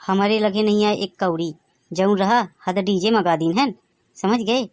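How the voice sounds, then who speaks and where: Man doing high, brash young Bagheli villager, dry sound. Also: a little slow, no echo. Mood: cajoling, argumentative. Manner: colloquial, pushy, argumentative. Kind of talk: dialect comedy cartoon voice acting.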